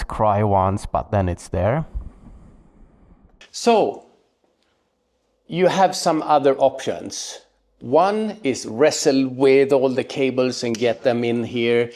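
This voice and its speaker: A man presenting a motorbike gear how-to in a casual, unscripted way, often pausing mid-sentence. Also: medium pitch, a little mellow. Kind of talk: casual unscripted explainer to camera.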